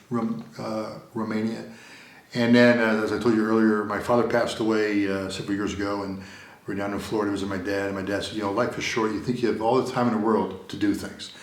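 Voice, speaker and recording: A middle-aged American man gives a casual interview, rambling and repeating himself with plenty of fillers. His voice sits a little low. The studio around him adds a noticeable roomy echo. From United States. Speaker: interview guest. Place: recording studio room.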